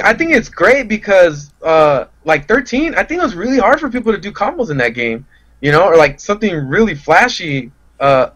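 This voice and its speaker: A somewhat high-voiced man talks casually as a guest on a gaming podcast. He rambles along hesitantly and puts himself down a little as he thinks out loud. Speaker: podcast guest, fighting game player. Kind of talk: casual chat.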